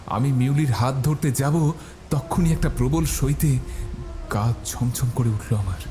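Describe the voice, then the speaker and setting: A man's voice: medium pitch, measured pace. A man narrating a scripted horror story in a dramatic style. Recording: loud music bed behind. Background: music.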